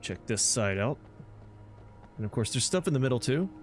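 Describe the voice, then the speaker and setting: A man's voice: mid-range voice, sing-song, moderate pace. A man giving casual gameplay commentary, informally thinking aloud as he plays. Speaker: gaming video host. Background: loud game music and sound effects.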